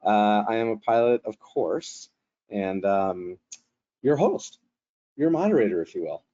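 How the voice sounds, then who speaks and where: A man hosts a webinar over a video call, chatty and joking, with lots of fillers along the way. His voice sits mellow and dark. The audio comes through slightly muffled. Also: on the low side, animated, unhurried. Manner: joking, rambling with frequent fillers. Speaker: webinar host and moderator. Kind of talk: casual, chatty webinar hosting.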